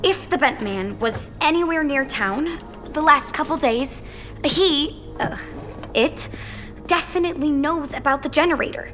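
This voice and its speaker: Smart earnest teen girl in charge, high voice, adventure drama, echoey space. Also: measured pace, fairly bright tone. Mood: explaining. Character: brainy, earnest, decisive teenage girl leader. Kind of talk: audio adventure drama acting. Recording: echoey hall, music underneath.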